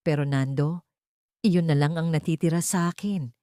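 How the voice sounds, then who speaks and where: A woman's voice: sits low, normal speed, mellow, clean tone, no room sound, lightly acted. A woman narrator plays a meek young fiancée in a romantic audio drama. She sounds hurt and hesitant, pleading for understanding. The recording is very dry. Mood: tearful pleading.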